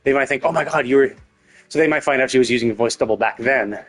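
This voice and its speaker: Guy streaming a story game, rambles and thinks out loud, mid pitch, bit of music behind. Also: fast. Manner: mild casual swearing. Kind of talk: casual live commentary on a story game. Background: game music with guitar.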